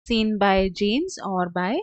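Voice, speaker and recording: A woman narrating a step-by-step software tutorial as a trainer, with a halting, hesitant delivery. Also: mid-range voice, expressive, no room sound.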